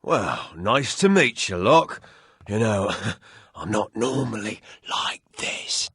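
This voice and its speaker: An actor playing a drunken, heartbroken townsman in a video game, husky and self-pitying, rambling with slurred hesitations. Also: lowish voice, very animated, slow, fairly bright tone, a little hiss or hum, exaggerated voice. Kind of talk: video game character acting.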